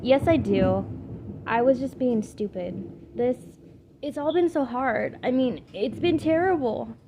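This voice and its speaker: A woman acts out a sullen teenage stepsister from a storybook, bitter and fussy. Her voice is on the high side and whiny, with clear noise on the homemade recording. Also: measured pace. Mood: bitter, hurt. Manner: whining, complaining. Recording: clearly noisy amateur home recording.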